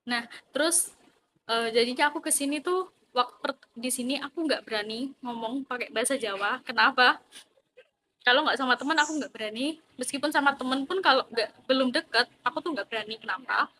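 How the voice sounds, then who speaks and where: A woman's voice: high voice, a little fast, bright, forceful tone, a little hiss or hum, low-quality recording. A young woman from East Java with an East Javanese Indonesian accent, chatting animatedly about student life. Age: young adult. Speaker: vlogger, university student. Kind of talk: casual chat.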